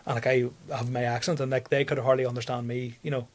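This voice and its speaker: A man's voice: medium pitch, little pitch movement, quick pace. A young man, a comedian telling a story on a podcast in a rambling way, repeating words and laughing often. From Northern Ireland. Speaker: comedian guest.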